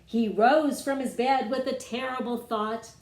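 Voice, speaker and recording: Slow-paced woman storyteller in an echoey room. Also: on the high side, fairly even intonation, darkish tone. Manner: measured, dramatic phrasing for young listeners. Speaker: children's author reading own book. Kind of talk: expressive rhyming storybook read-aloud. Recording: roomy, echoing room sound.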